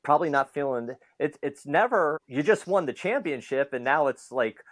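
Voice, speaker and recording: A man's voice: fairly high pitch, animated, moderate pace, no echo. A man hosting a podcast, talking conversationally and building long, reflective questions. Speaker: podcast host. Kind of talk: conversational interview.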